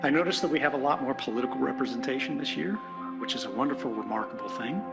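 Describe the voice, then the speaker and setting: A man's voice: medium pitch, fast, gravelly. A man giving an earnest, reflective political keynote, building points with repetition and rhetorical questions. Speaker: conference speaker. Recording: music bed behind speech. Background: music.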